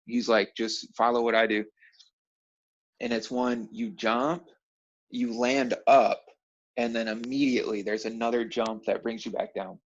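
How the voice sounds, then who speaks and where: A man's voice: medium pitch, flat delivery, unhurried. Slangy man telling stories over dull audio. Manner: slangy, profane, laughs easily while telling stories. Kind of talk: casual chat, animated storytelling.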